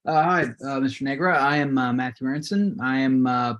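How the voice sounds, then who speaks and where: A man in his late twenties, speaking hesitantly with many pauses, eager about the history he has read up on. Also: mid-range voice, a bit bright, highs cut off. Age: 20s. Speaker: call-in guest. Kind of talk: casual chat over a video call. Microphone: video call.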